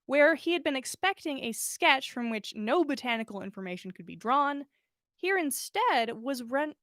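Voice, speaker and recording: Female storyteller, higher register, close dry sound, animated read-aloud of fiction for a podcast. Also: moderate pace, no echo. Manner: animated storyteller, acts out dialogue. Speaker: podcast host reading listener fiction. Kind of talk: expressive story narration, livestream read-aloud.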